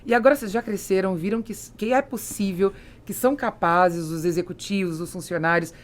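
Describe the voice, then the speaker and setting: A woman's voice: medium pitch, fairly even intonation, a little fast. A woman with a Brazilian accent hosting a conversational business interview, often chiming in with short agreement. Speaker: podcast host interviewing guests.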